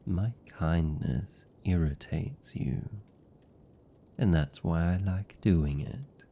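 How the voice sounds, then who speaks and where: A man's voice: low-pitched, dark tone, full character voice. A British man playing a seductive, possessive vampire captor in an intimate fantasy ASMR roleplay, teasing and condescending in manner. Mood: amused, teasing. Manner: slow, teasing, condescending, velvety menace.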